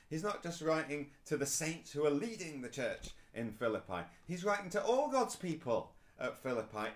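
A man, preaching a recorded sermon to camera in a small indoor room, earnest and emphatic. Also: medium pitch. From England. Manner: earnest, rising and falling emphasis, gestures while speaking. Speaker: pastor.

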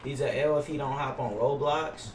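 A man's voice: mid-range voice, fairly even intonation, normal speed, far from the mic. A young American man streaming a game, chatting casually in brash slang with heavy swearing. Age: young adult. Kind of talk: casual gaming livestream chat with role-play banter. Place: indoor room at streaming setup. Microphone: gaming headset. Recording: roomy, off-mic, faint noise.

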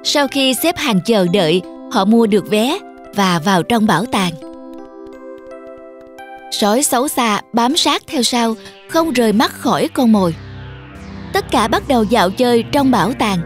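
Female storyteller for children's tales, fairly high voice, scripted narration over light music. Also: expressive, measured pace, pauses often.

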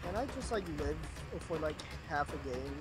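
A man's voice: fairly high pitch, animated, normal speed, over music. A young man joking casually with friends during an online game. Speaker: player in online game group.

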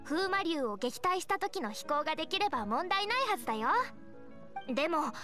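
A woman playing a cheerful, responsible young knight scout in an anime game, explaining things in an energetic, friendly way. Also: high-pitched, fairly quick, soft background music. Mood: confident, instructive. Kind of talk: Japanese anime game dialogue.

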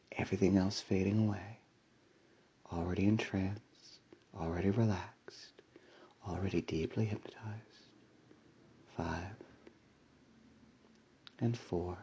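A man delivering a slow hypnotic induction in a soft, soothing voice with breathy whispers and a repetitive commanding cadence. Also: on the low side, dull audio. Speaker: hypnosis audio creator.

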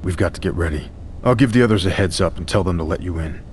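An actor playing a wary, cynical male vampire in hiding, gruff and dismissive, in a video game cutscene. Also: deep voice, fast talker, a little mellow, a little hiss or hum, natural voice. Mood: grudging.

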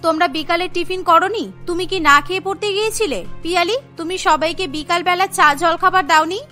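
A woman's voice: very high-pitched, steady tone, fast, bright, forceful tone, faint music behind. A woman voicing a strict, harried young sister-in-law in a cartoon, scolding and questioning sharply. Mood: stern.

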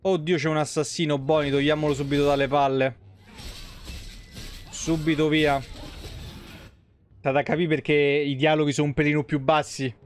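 A man's voice: on the high side, flat delivery, moderate pace, pauses often, a little hiss or hum. A man in his thirties, a game streamer talking casually and informally in speech on a close mic. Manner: informal, crude slang. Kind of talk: casual live commentary.

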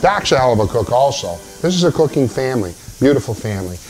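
A man's voice: medium pitch, very animated, quick pace, darkish tone, soft background music, rough recording. An older man co-hosting a home cooking show, casually chatting and asking the cook many questions. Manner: curious, asks many questions. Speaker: co-host. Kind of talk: casual kitchen chat. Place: home kitchen.

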